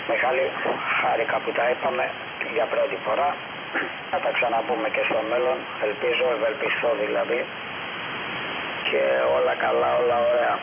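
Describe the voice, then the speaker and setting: A man's voice: medium pitch, vocal fry. A man chatting casually as an amateur radio operator over a radio transmission. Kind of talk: casual ham radio chat. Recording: dull, hissy, noisy radio link.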